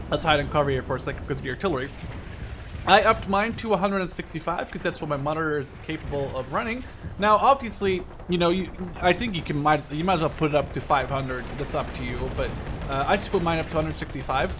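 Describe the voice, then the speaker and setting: Higher-voiced young man over loud game gunfire. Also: background noise. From Netherlands. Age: 20s. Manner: rambling, self-correcting, talking while concentrating. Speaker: gameplay video creator. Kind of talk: casual commentary while playing a shooter game. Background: artillery fire and gunshots from the game.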